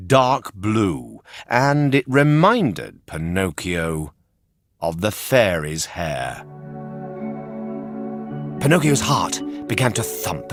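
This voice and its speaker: A man reading a children's story as narrator, in a dramatic, expressive storytelling manner. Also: mid-range voice.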